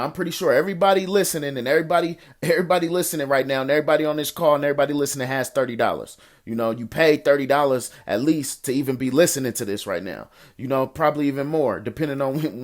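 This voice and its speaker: American man giving slangy, informal coaching. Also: fairly high pitch. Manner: colloquial street slang, encouraging, repeats phrases for emphasis. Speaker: host of a financial coaching call, investor and entrepreneur. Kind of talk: informal coaching talk answering listener questions.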